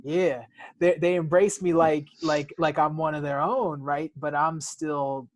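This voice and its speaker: A man's voice: a little high, fairly even intonation, measured pace. A man co-hosting a podcast, speaking reflectively in casual conversation, with frequent restarts and repeated words. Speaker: podcast co-host.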